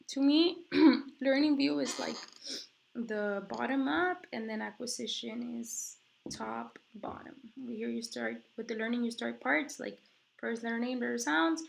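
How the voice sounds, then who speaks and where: A woman presenting a textbook chapter, reading from slides and explaining them casually with many fillers, while recovering from an illness and coughing now and then. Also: a little high. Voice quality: gravelly fry. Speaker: student presenter.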